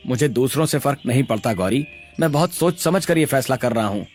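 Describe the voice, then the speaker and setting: A man's voice: mid-range voice, lively intonation, fairly quick, a little mellow, faint music behind, lightly acted. A man voicing a devoted young tea-seller in love, sincere and reassuring, in cartoon story dialogue. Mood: earnest.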